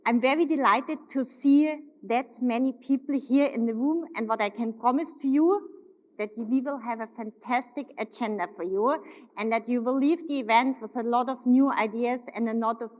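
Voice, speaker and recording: German-accented woman giving an upbeat keynote. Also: fairly high pitch. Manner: upbeat, emphatic, slowing for stress. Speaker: corporate executive keynote speaker. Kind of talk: keynote speech on stage. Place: large hotel ballroom. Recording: long reverb of a large hall.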